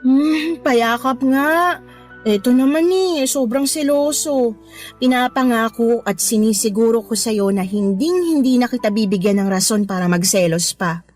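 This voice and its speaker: A young Filipina mistress in a radio drama, cajoling and money-minded. Her voice is on the high side and she sweet-talks her lover. Soft music runs behind her. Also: lively intonation, unhurried. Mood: placating. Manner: coaxing, sweet-talking. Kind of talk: Tagalog radio drama acting.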